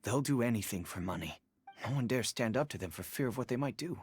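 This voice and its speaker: A man playing a weary, level-headed village husband in an anime video game, with a husky voice. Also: medium pitch, lively intonation, fast talker, a little hiss or hum, close to an everyday voice.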